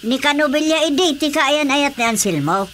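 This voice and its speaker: A woman's voice: high-pitched, animated, normal speed, a bit bright, a little hiss or hum. A woman playing a gossipy, complaining village woman in an Ilocano radio drama comedy. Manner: exclamatory, gossipy.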